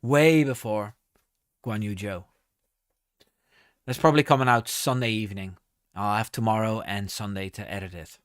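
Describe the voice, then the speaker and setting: A man's voice: lowish voice, normal speed, smooth, no echo, clean studio sound. A man hosting a casual live stream, reading viewer comments aloud and answering with many ums and restarts.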